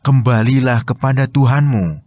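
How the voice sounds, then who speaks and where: A man with an Indonesian accent reading scripted religious commentary in a measured, explanatory way. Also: mid-range voice, a little mellow, no echo, clean studio sound. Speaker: narrator of Quran translation and commentary.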